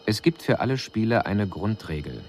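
Deep-voiced alien envoy. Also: male voice, very animated, darkish tone. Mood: detached, analytical. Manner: measured, clinical, formal diction. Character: detached male extraterrestrial envoy reporting on humans, analytical. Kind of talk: formal radio drama report narration. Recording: faint hiss on 1980s radio production.

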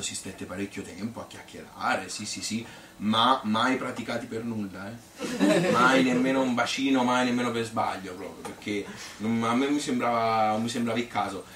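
A man's voice: lowish voice, fairly bright tone, close to an everyday voice. A man playing an old Tuscan grandmother who reminisces in a rambling, colloquial monologue, chatty, feisty and devoted to her husband. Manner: rambling, colloquial, repetitive, with little interjections. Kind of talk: spontaneous-sounding theatrical monologue. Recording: echoey, distant, noisy stage recording.

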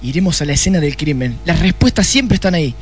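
An actor with an Argentine accent playing a rude, sardonic, hard-drinking private detective in a comic noir radio play. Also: male voice, a little high, lively intonation, fast, a little hiss or hum, lightly acted. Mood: knowing, measured. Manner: brusque, sardonic.

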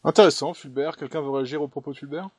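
A man's voice: fairly high pitch, fairly even intonation, racing, breathy edge, no echo. A man hosting a panel discussion, speaking casually with frequent hesitations. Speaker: host.

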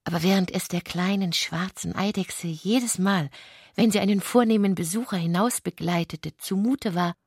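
Husky woman narrating a literary radio play. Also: mid-range voice, normal speed, a little mellow, no room sound, clean studio sound.

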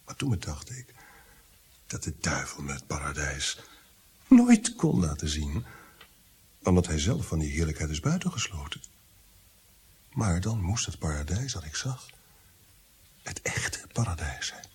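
A husky, tormented, theatrical prince. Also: male voice, a bass voice, sing-song, measured pace, long pauses, full character voice. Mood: intense, probing. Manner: theatrical, swinging between hushed awe and agitated outbursts. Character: brooding, tormented nobleman prince, haunted by guilt and visions. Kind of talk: Dutch radio drama acting.

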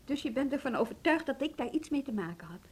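A self-possessed woman in a radio play, with a fairly high voice. She talks in a calm, conversational way, over faint hiss. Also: quick pace. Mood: guarded. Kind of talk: naturalistic Dutch radio drama acting.

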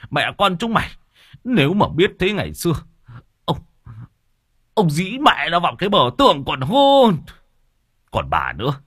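A bitter old father rants and curses in a sharp, husky voice, as a male narrator plays him. His pitch swings wildly between growls and shouts. The recording is dry and close. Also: dry recording, exaggerated voice. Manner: cursing, harsh ranting.

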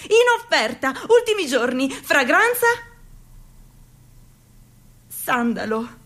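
A young woman with a high voice performs a theatrical radio monologue. She swings between soft, near-whispered lines and loud, declaimed ones. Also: big pitch swings, slow pace. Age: young adult.

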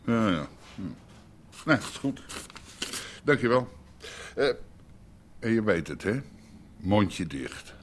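An actor in a radio drama playing a greedy, excitable underworld boss with a brusque manner. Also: male voice, fairly low pitch, slow, pauses often. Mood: conspiratorial.

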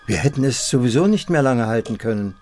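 An actor playing an elderly husband in a German radio drama, calm, resigned and tender, speaking in short plain sentences. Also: male voice, mid-range voice, quick pace, lightly acted. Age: older. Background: music.

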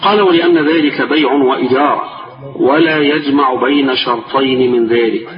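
Man, Egyptian accent, strained tired voice, religious teacher, reads then explains, muffled sound, music in background. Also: medium pitch. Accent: Egyptian Arabic. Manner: reads a text slowly, then explains it in colloquial speech, repeating key phrases. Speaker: sheikh teaching a class. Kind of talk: religious lesson, reading a text and explaining it. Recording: distant, echoey, dull-sounding lecture recording with music.

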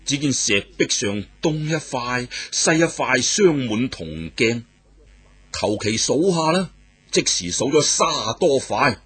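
Very husky, slow male storyteller. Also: mid-range voice. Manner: animated, exclamatory, dramatic pauses. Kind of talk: traditional oral storytelling of a martial arts novel.

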